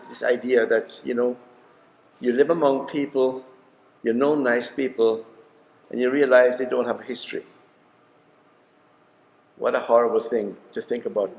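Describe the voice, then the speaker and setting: A man's voice: medium pitch, steady tone, normal speed, vocal fry, pauses often, a little mellow, low-quality recording. Jamaican-accented man telling a story. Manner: wry, conversational, with fillers. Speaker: history professor. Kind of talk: documentary interview, storytelling.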